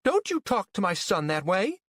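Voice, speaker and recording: A man as a fervent, high-pitched pious mother. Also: lively intonation, moderate pace, no room sound. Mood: irate. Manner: fervent, declamatory. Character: pious, fervent mother proclaiming blessings, easily riled woman.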